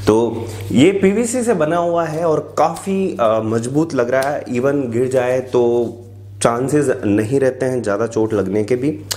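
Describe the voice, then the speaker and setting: एक युवा आदमी अपने घर के छोटे कमरे में जिम का सामान अनबॉक्स कर रहा है, आराम से बातें करता है, बीच-बीच में "अ" बोलता है और अंग्रेज़ी शब्द मिलाता है।. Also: male voice, medium pitch, measured pace, a little mellow, soft background music. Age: young adult. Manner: chatty, many filler sounds, English words mixed into Hindi. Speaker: tech YouTuber unboxing gym gear. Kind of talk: casual unboxing vlog. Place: small indoor room with a tiled wall.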